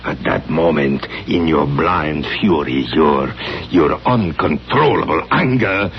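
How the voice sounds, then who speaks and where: An actor playing a pompous, theatrical acting coach in a 1970s radio drama, with grandiose, deliberate delivery. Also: male voice, mid-range voice, creaky, full character voice. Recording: dull, noisy old radio broadcast recording.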